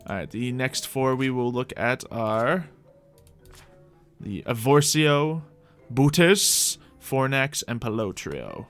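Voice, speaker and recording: A man's voice: mid-range voice, expressive, slow pace, fairly bright tone. Man musing through game commentary over music. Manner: mock-archaic, formal fantasy phrasing. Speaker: host of a gaming series. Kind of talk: gaming commentary, thinking aloud in light roleplay.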